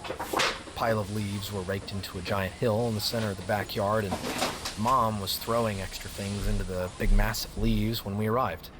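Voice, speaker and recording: A man's voice: lowish voice, fairly even intonation, normal speed, background noise, lightly acted. A man playing an uneasy grown grandson who narrates an audio drama and talks in a hesitant way. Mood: reflective. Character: grown grandson, uneasy, relieved, reluctant with his family. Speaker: narrator and lead character. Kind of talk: first-person audio drama narration and dialogue. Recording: music bed under the voice.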